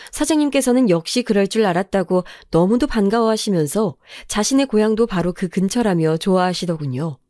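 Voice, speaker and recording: Mellow-voiced woman reading a story. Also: medium pitch, dry recording, clean studio sound. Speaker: story narrator. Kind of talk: scripted first-person story reading.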